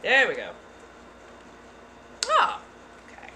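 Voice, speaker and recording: A woman reading tarot cards live for her viewers, keeping up a theatrical put-on persona accent the whole time. She sits a little low in pitch and calls everyone darling. A faint hiss sits under her voice. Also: wildly swooping pitch, slow pace, bright, forceful tone. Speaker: tarot reader and livestream host.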